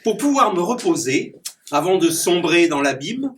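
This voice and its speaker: A man's voice: sits high, big pitch swings, moderate pace. A man who is a clown and poet, reciting rhyming comic poems to a small gathering and chuckling at his own puns. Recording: distant mic with background noise.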